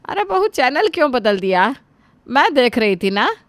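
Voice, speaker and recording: A woman's voice: high voice, big pitch swings, measured pace, no room sound. A woman voicing an aging, put-upon mother-in-law in a family drama, who scolds and sighs to God about her treatment. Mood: pleading. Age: older. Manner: wounded, scolding, sighing to God. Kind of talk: Hindi family drama voice acting.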